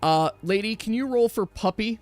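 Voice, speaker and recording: A man's voice: high voice, moderate pace, no echo. A man narrating casually as game master on a livestream, close on the mic. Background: music.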